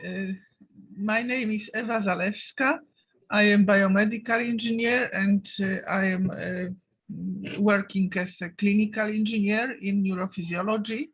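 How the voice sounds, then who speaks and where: A woman from Poland, introducing herself carefully with many pauses. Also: medium pitch, fairly even intonation, husky. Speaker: biomedical engineer. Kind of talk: self-introduction in a meeting. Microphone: video call. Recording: dull video call audio.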